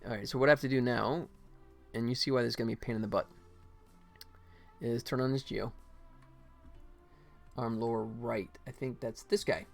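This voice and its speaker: A man's voice: medium pitch, steady tone, moderate pace, creaky, long pauses. Casual man thinking aloud over music. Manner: rambling, thinking aloud, half-muttering to himself while working. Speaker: game developer streaming his work. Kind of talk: casual livestream tutorial and chat while working. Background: music behind the voice.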